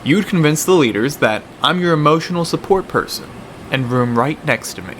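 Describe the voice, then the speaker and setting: A man's voice: a little high, lively intonation, normal speed, fairly bright tone, reverberant, in a large hall, slight background noise. A man playing a combative young debater who is smitten with his rival in a radio drama. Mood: teasing, exasperated.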